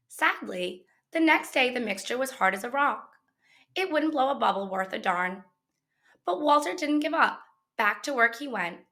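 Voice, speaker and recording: A woman reading a children's picture book aloud as a teacher would, in an expressive storytelling manner. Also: fairly high pitch.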